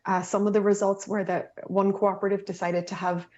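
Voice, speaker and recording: A woman's voice: mid-range voice, level tone, a little fast, darkish tone, muffled recording. A woman on a panel answering questions in an earnest way, with many restarts and fillers.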